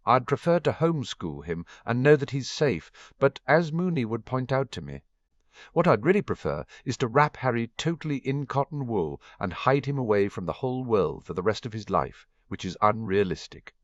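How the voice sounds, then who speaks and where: An earnest, worried father figure speaks in a lively, rising voice , as a man reading a story puts him on. The sound is dull and dry. He sighs often and pleads gently. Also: medium pitch, normal speed, dry recording, voice pushed up, natural voice. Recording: dull, dry home recording.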